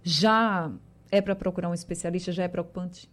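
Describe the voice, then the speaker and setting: A woman hosting a radio call-in show, introducing listeners' messages and thanking her guest in a friendly, brisk way. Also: lowish voice, steady tone.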